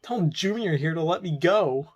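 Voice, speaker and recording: A man's voice: a little high, expressive, normal speed, muffled recording. A young male captive, played by a man, speaks defensively in a crime audio roleplay. He sounds earnest and protesting, with a streak of defiance toward those holding him. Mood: alarmed, protesting. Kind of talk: amateur audio drama roleplay.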